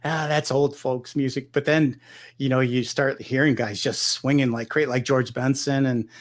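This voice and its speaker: A man's voice: medium pitch, steady tone, normal speed. Rambling middle-aged man from Michigan. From Michigan, United States. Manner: loose and rambling, many restarts and "you know". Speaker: guest guitarist. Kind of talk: relaxed conversational interview.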